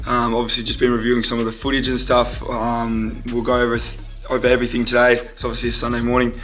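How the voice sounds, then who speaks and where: A young Australian man with a hoarse, strained voice, chatting casually and upbeat in a game day vlog. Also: medium pitch, completely flat delivery, fast, fairly bright tone, faint music behind. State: hoarse, voice partly lost. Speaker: vlogger and footballer.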